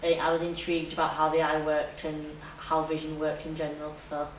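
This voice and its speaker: Low-voiced young woman. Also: monotone, a little fast, recorded from a distance. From Leeds, England. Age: young adult. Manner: casual, frequent "like". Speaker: student interviewee. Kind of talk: casual interview answers. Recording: echoey room, from afar, faint noise.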